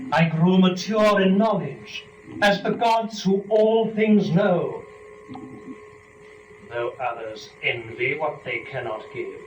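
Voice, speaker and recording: Young fallen woman, rapturous and scheming; epic verse drama, very slow delivery, distant noisy recording. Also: fairly high pitch. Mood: rapturous reverence. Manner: measured, theatrical, deliberate phrasing. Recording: noisy, dull, echoey room from a distance.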